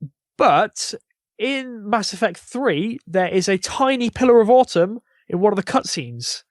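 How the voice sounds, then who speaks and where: British male podcast co-host, informal chat, fairly high-pitched, no room echo. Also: big pitch swings, a little slow. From United Kingdom. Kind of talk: casual chat.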